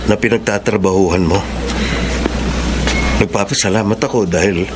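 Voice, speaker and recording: A man's voice: on the low side, normal speed, heavy background noise, lightly acted. An actor playing a shy, lovelorn man pining for a married woman in a radio drama, earnest and sentimental. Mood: hopeful, pleading. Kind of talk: radio drama acting in Tagalog.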